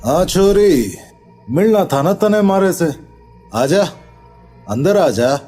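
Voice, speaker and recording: A man's voice: high voice, animated, slow pace, over music. A man playing a fearless, sly rustic with a Rajasthani-Haryanvi accent in a horror audio drama, drawling, mocking and unruffled. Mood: teasing, mocking.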